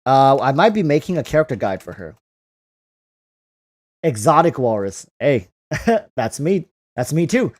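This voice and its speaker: A man's voice: mid-range voice, sing-song, a little slow, long pauses. A man hosting a casual livestream, reading out and answering viewer comments, often repeating phrases as he thinks aloud. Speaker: livestream host.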